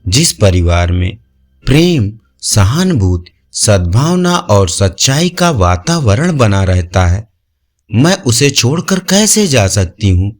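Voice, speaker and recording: A man's voice: lowish voice, big pitch swings, takes it slowly, pitched below the performer's own voice. A man voicing a benevolent, gracious goddess making solemn pronouncements in a folk tale. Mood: pleased, gracious. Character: benevolent wealth goddess, female, gracious and pleased. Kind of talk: devotional folk-tale storytelling. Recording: music under the voice.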